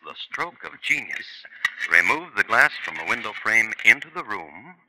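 Casting: sardonic radio crime narrator, rather low and very swooping pitch, clipped dry delivery. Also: male voice, big pitch swings, normal speed. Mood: wry, dry. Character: wry, deadpan male crime-story narrator. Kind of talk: scripted 1950s radio narration. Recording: old radio recording, faint noise.

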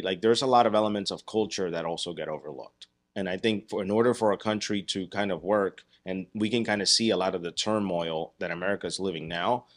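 Man, 30s, very low voice, measured explainer, podcast studio. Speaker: podcast co-host. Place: dark studio room.

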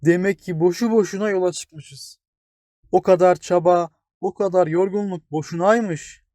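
A man's voice: high voice, steady tone, normal speed, darkish tone, no echo, clean studio sound, pitched above the performer's own voice, close to an everyday voice. A man voicing tiny young blades of grass in a children's storybook, naive, eager and childlike. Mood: disappointed, plaintive. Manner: childlike, exclaiming and questioning. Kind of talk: children's storybook character reading.

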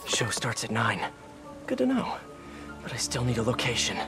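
An actor playing an earnest, wisecracking young superhero in a video game, quippy and often talking to himself. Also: male voice, mid-range voice, wildly swooping pitch, normal speed. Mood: musing. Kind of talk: video game hero dialogue. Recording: loud music over voice.

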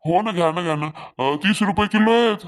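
Cartoon market trader, male actor: slightly high pitch, colloquial, put-upon yet obliging bargainer. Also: a little fast, close to an everyday voice. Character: haggling male vegetable seller, put-upon but obliging. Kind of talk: Marathi comedy cartoon dialogue.

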